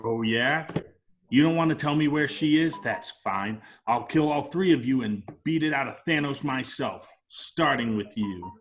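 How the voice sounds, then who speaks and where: A brash space outlaw, read by a man with a threatening edge. The pitch is middling, and the delivery is that of an amateur script read-through. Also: moderate pace, a little hiss or hum, close to an everyday voice.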